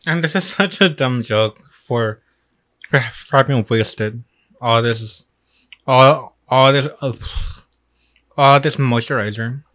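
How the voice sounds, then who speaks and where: A young man casually commenting while practicing a language lesson in a small room, slow and self-deprecating. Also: medium pitch, a bit bright. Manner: slow, self-deprecating, repeats words aloud while learning. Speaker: vlogger. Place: small room indoors, bedroom.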